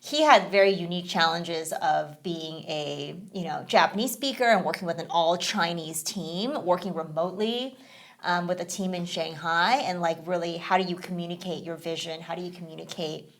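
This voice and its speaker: A woman's voice: lowish voice, moderate pace. A woman co-hosting a gaming podcast, chatting casually with a rambling, filler-heavy manner. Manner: chatty, rambling, many fillers like "you know". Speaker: podcast co-host. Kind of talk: casual chat.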